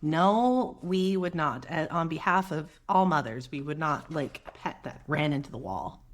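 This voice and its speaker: A woman with a soft voice reads a picture book aloud to young children, gentle and expressive, with chatty asides to her listeners. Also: low voice, normal speed, a little hiss or hum. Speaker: children's read-aloud host; mother.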